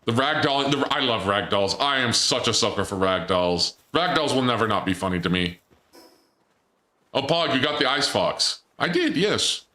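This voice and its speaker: A man's voice: mid-range voice, lively intonation, moderate pace, bright, forceful tone, echoey room. A man streaming a game, chatting casually and joking while he reads chat aloud. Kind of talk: casual gameplay commentary.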